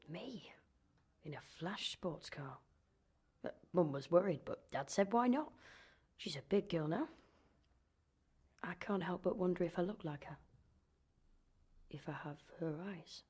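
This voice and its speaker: A young woman with a soft-toned, husky, slightly low voice. a wry, searching daughter in a radio play. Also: lively intonation, unhurried, pauses often. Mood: reflective. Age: young adult. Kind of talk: radio drama monologue.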